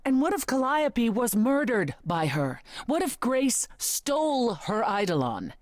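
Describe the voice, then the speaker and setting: An actress playing a stern goddess demanding justice in a video game, hard and confrontational. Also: female voice, a little high, very animated, measured pace, no echo, studio quality. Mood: accusing, stern. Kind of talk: dramatic game dialogue.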